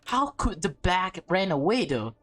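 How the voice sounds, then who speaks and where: A woman reading a visual novel aloud as a gaming host, expressive and playful, stumbling over lines and correcting herself. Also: on the low side, a little slow, no echo. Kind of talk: let's play read-aloud with off-script reactions.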